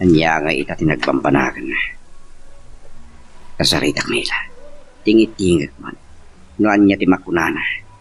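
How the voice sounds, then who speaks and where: A man's voice: rumbling low, normal speed, pauses often, slightly rough, full character voice, low-quality recording. A man playing an earnest friend and go-between who urges and questions in an amateur radio-style love drama. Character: earnest male friend, a go-between giving advice and help.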